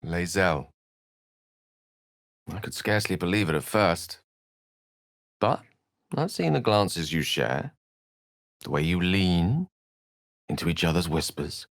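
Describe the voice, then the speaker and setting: A wry, erudite wizard with a slightly low voice that moves through wide, theatrical swings of pitch. He speaks in polished, articulate phrases with an earnest streak, as a fantasy video game companion, recorded close and dry. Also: animated, takes it slowly, long pauses, no room sound, heavily acted. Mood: wistful, observant. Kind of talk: scripted video game voice acting.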